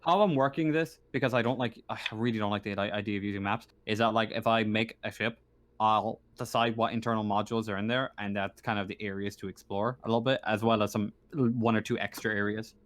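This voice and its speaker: A man's voice: lowish voice, steady tone, fast talker, fairly bright tone, no room sound. A man speaking as game master of a tabletop roleplaying game, casual, informal and joking.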